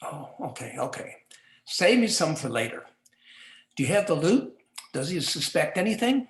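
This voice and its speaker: Echoing from a stage, a lecherous crooked policeman played by a man schemes in campy forties radio style. His intonation jumps around in a lively way. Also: a little high, moderate pace. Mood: scheming. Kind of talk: campy 1940s radio noir acting. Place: live community theatre stage performance. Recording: echoey live stage.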